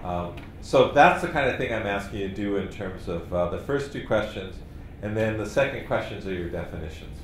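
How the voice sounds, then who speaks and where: A man leading a training workshop, explaining in a relaxed, conversational way. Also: fairly low pitch, a little fast. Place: meeting room. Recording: very echoey room, faint noise.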